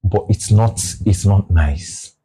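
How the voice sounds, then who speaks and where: A man's voice: on the low side, slow, warm tone, husky. A Nigerian man in his forties with a Nigerian English accent, speaking as an interview guest and reflecting aloud in casual conversation.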